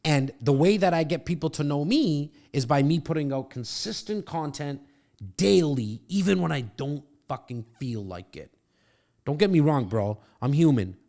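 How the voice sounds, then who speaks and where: A man's voice: on the high side, lively intonation, normal speed, warm tone. A middle-aged man hosting a podcast, coaching a guest in a brash, profane pep-talk manner from his studio. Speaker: podcast host and real estate coach. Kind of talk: casual mentoring chat. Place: home studio.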